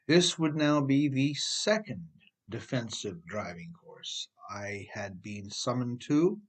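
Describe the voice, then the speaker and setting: Middle-aged American man narrating an audiobook. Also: medium pitch. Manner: emphatic, addresses listener with rhetorical questions. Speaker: author reading own audiobook. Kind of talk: scripted audiobook narration with conversational asides.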